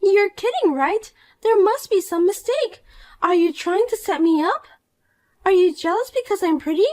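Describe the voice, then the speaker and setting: A woman's voice: extremely high pitch, expressive, normal speed, darkish tone, voice pushed up. A woman voicing a shallow, selfish, jealous young woman in a dramatized story, accusing and self-pitying.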